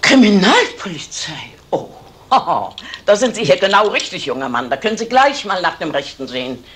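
An actress playing an energetic, indignant, scolding older woman in a 1970s radio crime drama, haughty and sarcastic. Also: on the low side, moderate pace. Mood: sarcastic, triumphant. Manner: haughty, sharp-tongued, sarcastic. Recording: roomy vintage radio recording, faint hiss.

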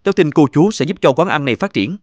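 An earnest young businessman in a cartoon, with a fairly high voice. He is polite and grateful, in a very dry recording. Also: expressive, quick pace, dry recording. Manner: polite, sincere.

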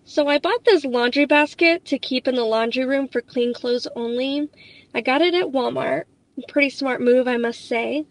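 Chatty woman with a gravelly edge. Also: high-pitched, normal speed, no echo. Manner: chatty, upbeat, talking to viewers. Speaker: cleaning vlogger. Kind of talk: voice-over narration.

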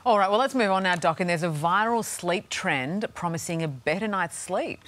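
A woman in her thirties presenting breakfast TV, brisk links and easy banter. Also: on the low side, expressive. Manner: bright, brisk link reading with giggles. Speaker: TV host. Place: TV studio. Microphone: lapel.

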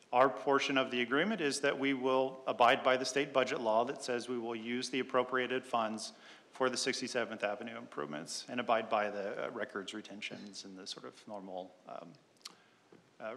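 A man in his thirties presenting an item to a city council in a relaxed, plain way, with many fillers, at a desk microphone in a large chamber. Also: medium pitch. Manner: relaxed, filler-heavy explaining. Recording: long reverb of a council chamber.

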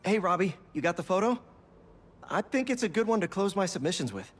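An actor playing an earnest, self-deprecating young superhero in a video game, casual and wry. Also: male voice, sits high, lively intonation, a little fast, slightly rough, soft background music. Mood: sincere. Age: young adult.

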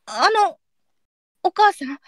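A woman playing a hesitant, soft-spoken nineteen-year-old courtesan in a Japanese period audio drama. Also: sits high, sing-song, takes it slowly, no echo, studio quality, exaggerated voice. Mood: uneasy.

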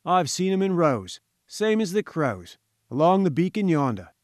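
A man voicing a huge, rough, suspicious gatekeeper in a storybook, talking in colloquial, folksy turns of phrase. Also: sits high, very animated, moderate pace, dry recording, studio quality, voice pushed up. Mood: gruff, informative.

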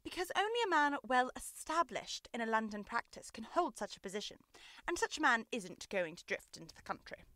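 A woman's voice: on the high side, very animated, a little fast, pushed and bright, no room sound. A young woman playing a brilliant, self-assured male Victorian detective in a theatrical, precise radio drama style. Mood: confident, instructive. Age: young adult. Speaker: student actor.

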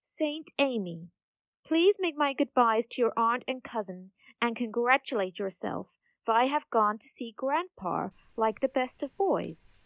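A woman's voice: fairly high pitch, lively intonation, unhurried, vocal fry, no room sound. An actress playing a nosy, prim, gossipy young society girl in an audio drama. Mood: reading aloud. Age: young adult.

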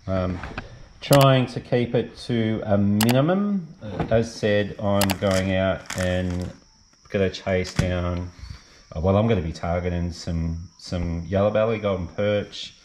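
Middle-aged Aussie man, deep voice, loose unscripted gear chat with frequent ums. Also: slow pace, background noise. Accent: Australian. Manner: relaxed, rambling with many ums and restarts. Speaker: fishing vlogger. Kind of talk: casual gear walkthrough. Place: garage or shed, indoors.

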